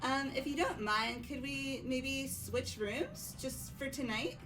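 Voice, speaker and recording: A woman voicing a timid, sweet teenage schoolgirl with a soft-hearted, hesitant manner in a fan-voiced game. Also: high-pitched, animated, slow, music in the background, poor audio. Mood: shy, hesitant. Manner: hesitant, apologetic. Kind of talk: amateur dub of a visual novel game.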